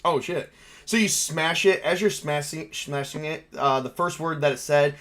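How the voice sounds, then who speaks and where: A man's voice: fairly high pitch, moderate pace, fairly bright tone. A man running a tabletop roleplaying game, narrating and joking casually with the other player.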